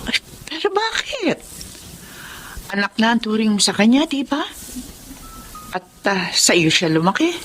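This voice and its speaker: An actress playing a concerned, candid doctor in a Filipino drama, frank and questioning. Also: female voice, on the high side, big pitch swings, measured pace, pauses often, fairly bright tone, slight background noise.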